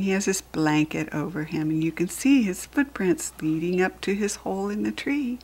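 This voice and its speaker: An American woman reading a picture book aloud to young children, gently explaining things as she goes in a soft, breathy voice. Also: on the low side. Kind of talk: read-aloud storytelling with asides to young children.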